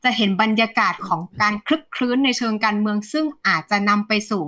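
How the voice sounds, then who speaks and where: A woman in her thirties, an economist guest, explaining the market in a lively, conversational way over a video call. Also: medium pitch, fast. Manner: animated, refers to herself by nickname, mixes English terms. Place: plain indoor room, video call.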